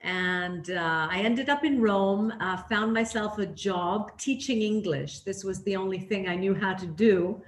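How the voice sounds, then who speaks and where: A woman's voice: mid-range voice, measured pace, few pauses, a little hiss or hum. Middle-aged woman, grew up in canada, tells her story candid and reflective, lots of ums, roomy echo. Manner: reflective, candid, with frequent fillers and restarts. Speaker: interview guest, leadership trainer. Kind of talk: conversational storytelling interview. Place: living in Greece. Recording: echoey room.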